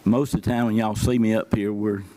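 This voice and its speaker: Deep-voiced Southern man at a podium. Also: little pitch movement, brisk, mellow. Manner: plain-spoken, many fillers. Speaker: emergency services official. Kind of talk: public remarks at a meeting. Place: county board meeting room.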